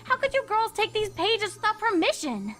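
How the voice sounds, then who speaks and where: Woman as a stern, bright-voiced scolding pony. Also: very high voice, sing-song, moderate pace, soft background music, full character voice. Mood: accusing. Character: stern, scolding young adult female cartoon pony. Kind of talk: fan fiction audio drama.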